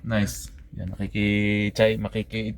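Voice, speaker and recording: A Filipino man who is a truck driver and vlogger, chatting in a friendly, excitable way and switching between Tagalog and English. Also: lowish voice, unhurried. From Philippines. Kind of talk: casual vlog chat. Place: truck parking lot outdoors.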